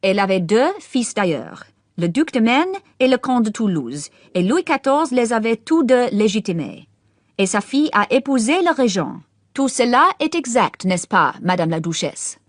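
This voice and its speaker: A woman voicing a snobbish, middle-aged gentleman lecturer who shows off his French and his knowledge of history. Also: on the high side, sing-song, normal speed, dry recording, clean studio sound, pitched above the performer's own voice. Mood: showy. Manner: affected, showing off his French.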